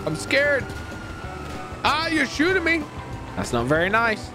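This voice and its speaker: Male gamer, excited roleplay commentary, swooping intonation, playful, theatrical, repetitive exclamations, prominent background music. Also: very high-pitched, measured pace. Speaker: gamer and video host.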